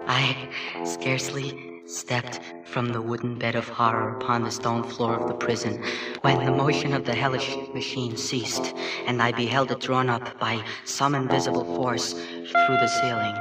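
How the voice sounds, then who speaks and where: A man playing a tormented condemned prisoner in mortal terror, reading a gothic horror story in a theatrical, halting, anguished way. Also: medium pitch, fairly even intonation, natural voice. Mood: hushed dread. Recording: echoey, distant, loud music behind.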